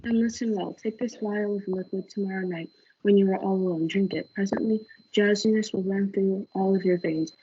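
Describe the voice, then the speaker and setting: A young woman playing a calm, scheming friar who acts as confidant, in a stage-play reading. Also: medium pitch, fairly quick, lo-fi sound, slight background noise, natural voice. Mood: secretive, instructing.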